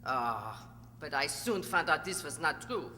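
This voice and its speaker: Woman acting an accusing courtroom witness, sharp, echoing. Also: low voice, animated, measured pace, full character voice. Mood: accusing, grave. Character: cold, accusing wife testifying as courtroom witness. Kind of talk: amateur radio play acting. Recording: reverberant hall, faint noise and hum.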